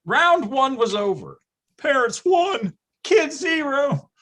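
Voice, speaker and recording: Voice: high voice, sing-song, slow, a bit bright, full character voice. A performer playing a nostalgic, melodramatic storyteller, delivering wry and grandiose scripted comic narration. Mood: ominous. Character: melodramatic, nostalgic storyteller recalling boyhood schemes.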